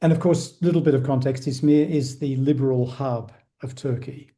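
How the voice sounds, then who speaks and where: A man's voice: mid-range voice, fairly even intonation, moderate pace, dark tone. A man hosting a podcast interview, asking probing questions and giving brief backchannels. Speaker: podcast host.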